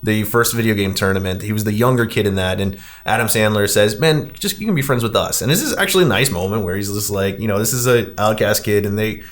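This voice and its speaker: A man hosting a podcast, discussing films casually in an animated, emphatic way. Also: on the low side, fast, hardly any pauses. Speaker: podcast host.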